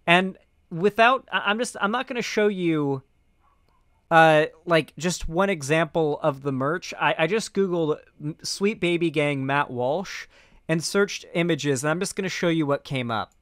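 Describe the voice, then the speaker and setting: A man's voice: on the high side, unhurried, fairly bright tone, smooth, dry recording. An American man in his twenties from Florida giving casual, opinionated commentary into a close microphone, conversational and blunt. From central West Florida, American South. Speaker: political commentary streamer. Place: small home streaming room, indoors. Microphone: close microphone on boom arm, headphones on.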